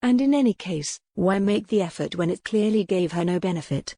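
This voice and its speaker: A woman's voice: medium pitch, animated, a little fast, mellow, no echo, studio quality. A woman reading a scripted historical story as an audiobook narrator.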